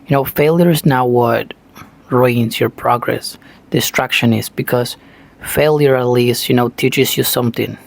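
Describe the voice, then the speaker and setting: Argentine guy w spanish accent giving a pep talk, pretty monotone, says you know a lot. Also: mid-range voice, unhurried, vocal fry. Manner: frequent "you know" fillers, earnest. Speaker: self-taught English learner, channel host. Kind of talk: motivational talk to camera.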